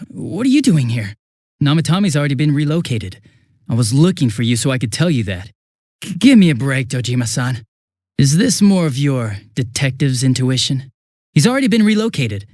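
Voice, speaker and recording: High-pitched, animated young police detective. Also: male voice, no room sound, studio quality. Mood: puzzled, casual, then nervous, joking. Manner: casual, hesitant, excitable. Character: young male police detective, flustered, affable, scatterbrained. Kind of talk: English video game voice acting.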